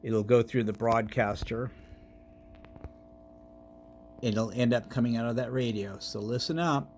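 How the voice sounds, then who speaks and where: A man's voice: mid-range voice, normal speed, darkish tone, faint music behind. A man narrating a casual hands-on electronics demonstration as its host.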